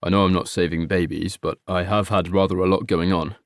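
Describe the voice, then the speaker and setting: A man reading an audiobook and voicing a meek, apologetic young man, nearly thirty, who speaks quietly and with a low voice. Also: level tone, fairly quick, clear, resonant tone, no room sound, clean studio sound. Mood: subdued.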